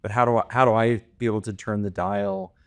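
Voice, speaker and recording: A man's voice: fairly low pitch, fairly even intonation, fast, no room sound. A man co-hosting a podcast, talking casually with loose phrasing and lots of fillers. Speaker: podcast co-host. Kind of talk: podcast interview.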